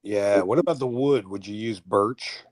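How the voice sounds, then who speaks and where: A man's voice: lowish voice, normal speed, darkish tone. A man in casual conversation, speaking informally and chiming in as a chat partner. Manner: informal, interjecting.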